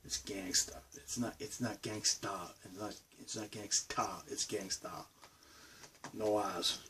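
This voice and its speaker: A man's voice: on the low side, steady tone, takes it slowly, sharp tone, recorded from a distance, a little hiss or hum. A man with a Boston accent rambling casually to his camera from his bedroom studio. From Massachusetts, USA. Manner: rambling, meandering talk. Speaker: vlogger.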